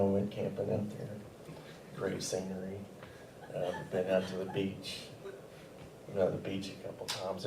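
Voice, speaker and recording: A young man from Arkansas with a Southern accent, doing a rambling, deadpan stand-up set in a comedy theater. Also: fairly low pitch, vocal fry, background noise. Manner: laid-back, rambling, deadpan with self-corrections. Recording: roomy theater mic from a distance.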